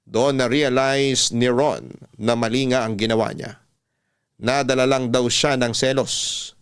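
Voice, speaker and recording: A man delivers scripted first-person narration in a radio drama style. His voice sits in the middle range and is very clear and resonant. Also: mid-range voice, steady tone, a little slow. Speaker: storyteller, narrator.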